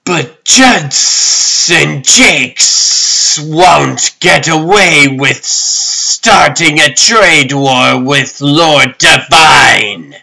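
A man's voice: fairly high pitch, lively intonation, creaky, bright, forceful tone, full character voice, low-quality recording. A man voicing a vengeful reptilian overlord in a comic dub, drawing out every word imperiously. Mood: brooding anger. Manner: drawn-out, imperious.

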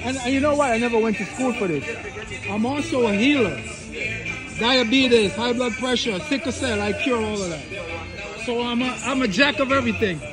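A man's voice: very high-pitched, normal speed. A man who owns a restaurant, chatting casually into his phone camera as he walks between outdoor tables and interviews diners. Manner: lively, chatty, repeats himself. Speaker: restaurant owner and cook. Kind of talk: casual video walk-through interviewing diners. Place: outdoor street café tables. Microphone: phone camera held by hand. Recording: loud music in background. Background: loud reggae music.

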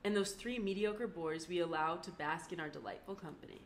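Brief: deadpan, wry teen girl, voiced by a woman, fairly low voice, faint hiss. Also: a little fast, natural voice. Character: dry-witted 17-year-old girl, sharp and wry.

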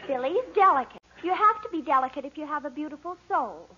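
A gushing, lovestruck teenage daughter with a very high voice, earnest and easily offended. It is 1940s radio comedy, muffled and clearly noisy. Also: female voice, animated, fast, over a noisy crowd. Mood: dreamy, gushing. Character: dreamy, melodramatic seventeen-year-old daughter in love.

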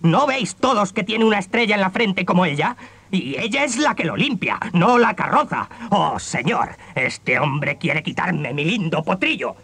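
An actor playing an earnest, poor peasant man with a husky, expressive voice on a storybook cassette. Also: sits high, moderate pace, no echo, full character voice. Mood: pleading.

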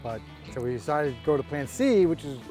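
A man's voice: a little high, sing-song, fairly quick, warm tone. A middle-aged man chatting casually to camera on a fishing boat at sea, with a joking, teasing manner. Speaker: fishing vlog co-host. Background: music.

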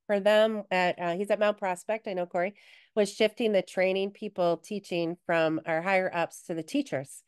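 A woman's voice: medium pitch, fairly even intonation, moderate pace, smooth. A woman co-hosting a webinar over a video call, encouraging participants and reading comments from the chat. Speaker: webinar co-host. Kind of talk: conversational webinar discussion.

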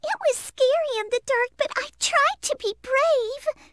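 A woman's voice: very high-pitched, measured pace. A woman voicing a sweet, timid, polite little girl rabbit in a video game cutscene. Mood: grateful, sweet.

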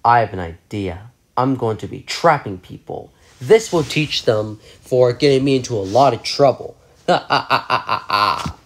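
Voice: mid-range voice, animated, moderate pace, slight background noise, lightly acted. A performer voicing a scheming male cartoon dog who traps people and gloats about it, with a cackling laugh. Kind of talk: homemade cartoon voice acting.

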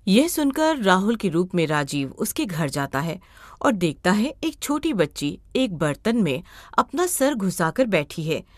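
A woman storyteller delivers scripted narration. Her pitch is mid-range and moves in a lively way, and the sound is dry and close. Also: measured pace, no echo.

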